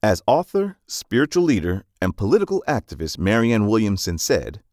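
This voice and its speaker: A man reading scripted nonfiction narration in a measured, instructive manner, as for an audiobook summary. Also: lowish voice, wildly swooping pitch, darkish tone, no room sound, clean studio sound.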